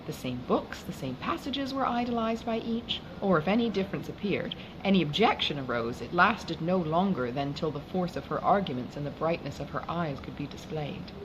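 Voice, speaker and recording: A woman reading a classic novel aloud as an audiobook, with formal, expressive phrasing. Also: deep voice, moderate pace, hardly any pauses, background noise. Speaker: audiobook reader.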